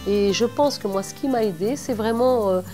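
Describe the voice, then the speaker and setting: Woman, middle-aged, from Morocco, artist, reflective, hesitant, slightly high pitch. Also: warm tone, soft background music. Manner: thoughtful, hesitant, many pauses. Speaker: artist and cultural organizer. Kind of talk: reflective interview.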